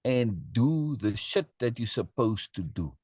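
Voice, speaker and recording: A man giving blunt, emphatic advice to a friend in casual conversation, slowing down to press his points. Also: medium pitch, sing-song, a little mellow, husky, dry recording. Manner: emphatic, lecturing advice, slow for stress.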